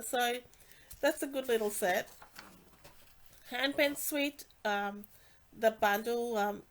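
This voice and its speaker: A woman with a congested, nasal voice, casually walking through craft products with many fillers and restarts. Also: on the high side. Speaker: stamping demonstrator.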